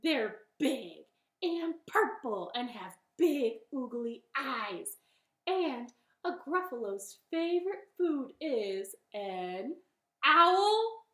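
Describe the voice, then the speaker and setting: A woman's voice: high voice, sing-song, drawn-out words, fairly bright tone, pitched above the performer's own voice, heavily acted. A woman voicing a clever little female storybook bunny, hesitant and bluffing, with a secretly proud streak. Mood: nervous bluffing. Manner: hesitant, stalling ums and ohs. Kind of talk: animated children's storytelling. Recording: roomy, echoing sound.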